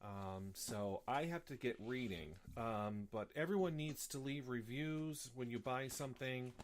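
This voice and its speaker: Brief: male host of an easygoing live chat show, mid-pitched, sniffly, rambles with fillers. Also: a little slow, a little hiss or hum. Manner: rambling, many fillers and restarts. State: sniffly with a slight cough.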